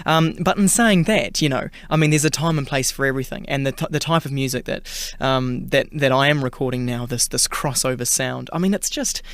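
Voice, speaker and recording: A man's voice: medium pitch, a little fast, fairly bright tone, clear, resonant tone, no echo. A man from New Zealand with a New Zealand accent, chatting as a singer guest in a radio interview, with many fillers and restarts.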